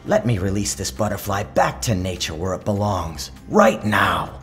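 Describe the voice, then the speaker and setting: A man voicing a gentle, compassionate magician in a storybook, speaking earnestly and tenderly. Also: fairly low pitch, lively intonation, normal speed. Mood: pleading. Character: gentle, compassionate male magician pleading and soothing. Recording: music behind the voice.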